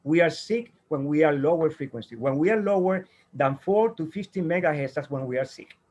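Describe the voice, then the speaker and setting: Earnest man, slightly raised pitch. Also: lively intonation, a little fast, a little mellow, muffled recording. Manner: earnest, rambling with many fillers and restarts. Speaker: guest, sound healer and tenor. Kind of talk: interview answers.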